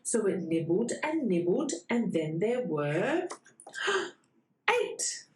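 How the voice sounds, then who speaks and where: A woman reading a bedtime storybook to young children in a sing-song way, with dramatic pauses before key words. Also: mid-range voice, sing-song. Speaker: children's read-aloud host. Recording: roomy echo.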